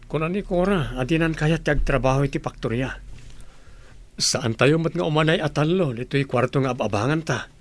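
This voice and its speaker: A man's voice: a little high, fairly quick, lightly acted. A man with an Ilocano accent playing a young working man soon to marry, in a radio comedy-drama. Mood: matter-of-fact.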